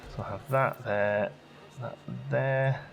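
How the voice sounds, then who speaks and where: A man's voice: medium pitch, fairly even intonation, slow pace, gravelly, dull audio, faint music behind. A man giving casual gameplay commentary, chatting and musing aloud as he questions his own choices, as a let's play host.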